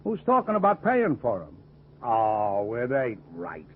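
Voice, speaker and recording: A man's voice: medium pitch, wildly swooping pitch, a little slow, a little hiss or hum. An actor playing a grumbling reformed crook turned manservant, with ungrammatical tough-guy talk, in a vintage radio comedy-mystery. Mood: pleading, aggrieved. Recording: muffled, tinny old radio recording.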